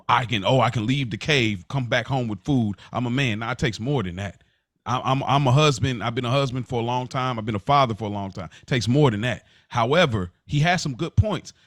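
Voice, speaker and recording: A man's voice: medium pitch, fairly bright tone, no echo. Emphatic American man, close dry sound. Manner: emphatic, assertive, repeats key points. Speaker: podcast co-host. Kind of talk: opinionated casual discussion.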